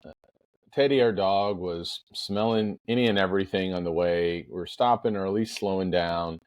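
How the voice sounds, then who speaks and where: A middle-aged man with a powerful voice, hosting a podcast in an emphatic, deliberate motivational monologue with storytelling. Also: low voice, a little mellow, dry recording. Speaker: podcast host.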